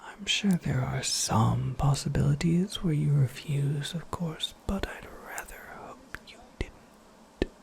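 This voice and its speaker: A performer voicing a cryptic, wry witch mentor in a breathy, unhurried ASMR whisper, with formal and dryly playful phrasing. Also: mid-range voice, a little mellow, slight background noise. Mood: coaxing.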